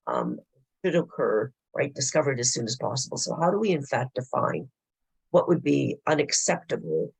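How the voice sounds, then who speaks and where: A man who is losing his voice gives a formal, explanatory presentation at a board meeting. His delivery is halting, with frequent hesitations. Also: a little high, vocal fry, husky, no room sound, poor audio. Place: public board meeting room.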